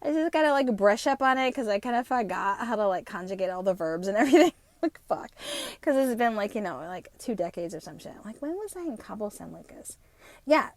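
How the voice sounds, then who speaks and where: A woman in her fifties with a New Jersey accent at times, hosting an unscripted podcast monologue, talking fast, swearing freely and tagging her points with "right?". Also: a little high, no room sound. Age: 50s. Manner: fast-talking, profane and rambling; emphatic, with frequent tag questions. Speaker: podcast host.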